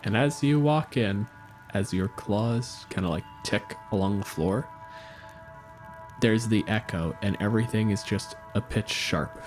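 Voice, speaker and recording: Man, game master, low-pitched, chatty laid-back narration, faint music behind. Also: unhurried.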